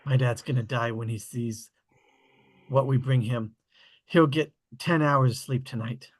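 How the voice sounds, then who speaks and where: A man reading the part of an earnest, hopeful boy adventurer at a script table read, in a slow, hushed delivery. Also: mid-range voice, fairly even intonation, natural voice.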